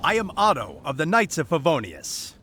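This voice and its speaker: A man's voice: on the high side, huge pitch swings, normal speed, heavy background noise. A man voicing an officious, by-the-book knight in a video game, formal and declamatory. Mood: stern, official.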